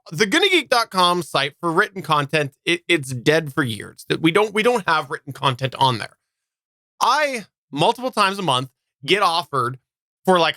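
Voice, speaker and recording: Bright-voiced man from Canada, casually opinionated. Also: a little high, no echo, clean studio sound. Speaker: podcast co-host.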